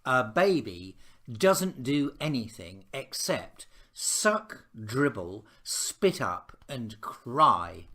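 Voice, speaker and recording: A man's voice: medium pitch, very animated, very slow, bright, forceful tone, full character voice. A man reading a children's picture book, voicing an indignant, worried little girl with an emphatic, petulant delivery. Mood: grumbling.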